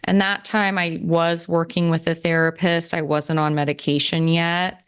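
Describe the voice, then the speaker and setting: Low, smooth-voiced woman chatting. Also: little pitch movement, moderate pace. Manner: earnest, reflective, many fillers. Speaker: podcast co-host. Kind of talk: casual chat.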